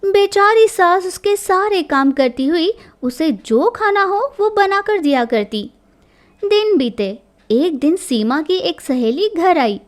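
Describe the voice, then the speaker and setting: Female storyteller, scripted narration of a domestic tale, very high voice, unhurried pace. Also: expressive.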